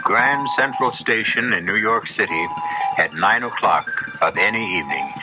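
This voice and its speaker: A man's voice: fairly low pitch, normal speed, over loud music, lightly acted. A man giving somber, scripted narration for a 1970s radio drama, solemn and literary in delivery. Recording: dull old radio recording.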